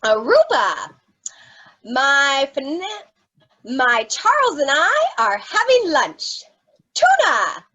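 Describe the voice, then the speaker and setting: Woman as self-important community theater board member, lively swoops, forceful tone, web farce. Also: high voice, slow pace, long pauses, heavily acted, rough recording. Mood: affected. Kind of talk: farcical web comedy acting.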